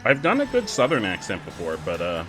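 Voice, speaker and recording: A young man casually commenting on a kart race while chatting with his viewers, excitable and quick to laugh. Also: lowish voice, faint music behind. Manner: excitable, giggly, chats with viewers. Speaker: streamer.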